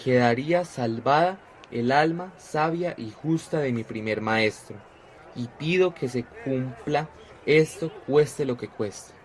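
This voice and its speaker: An actor playing a devout young disciple in a radio drama, speaking fervently and sincerely. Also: male voice, mid-range voice, slow pace, lightly acted.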